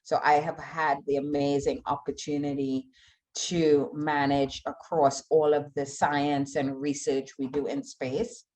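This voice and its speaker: A middle-aged woman from Trinidad and Tobago, an aerospace engineer giving an online talk in an earnest, measured way with frequent fillers. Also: low voice, little pitch movement, a little mellow, poor audio. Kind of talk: online talk answering audience questions.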